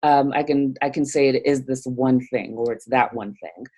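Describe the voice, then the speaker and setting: A woman's voice: extremely low pitch, fairly even intonation, normal speed, warm tone. A woman born in Nigeria, speaking as a guest in an interview, thoughtful and hesitant, with many fillers and restarts. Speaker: theologian guest. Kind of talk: reflective interview conversation.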